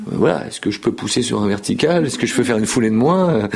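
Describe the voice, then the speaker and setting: A man's voice: mid-range voice, animated, fast talker, creaky, darkish tone, husky. A man in his fifties telling his story in a casual interview, rambling with frequent repeated words and fillers. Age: 50s. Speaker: show jumping rider.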